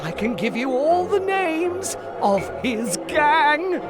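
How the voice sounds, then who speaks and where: A man's voice: very high-pitched, sing-song, slow, over music, heavily acted. A man voicing a cowed, whining small-time thief in a cartoon game. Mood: frightened, pleading. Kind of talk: animated cartoon game voice acting.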